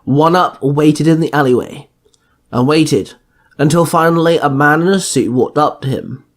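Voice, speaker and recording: A man's voice: on the high side, normal speed. Man narrating a fan fiction story. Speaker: podcast narrator.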